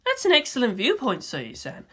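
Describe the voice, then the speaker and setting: A woman voicing a calm, philosophical boy with firm conviction, speaking in measured, oratorical phrases for a fan fiction reading. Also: on the high side, breathy edge, heavily acted. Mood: composed. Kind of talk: fan fiction audiobook character voice.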